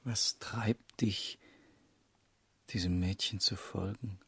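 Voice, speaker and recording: An actor playing a reserved, brooding male intellectual in a German radio drama, terse and halting, with a husky, gravelly voice. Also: fairly low pitch, fairly even intonation, no room sound, exaggerated voice.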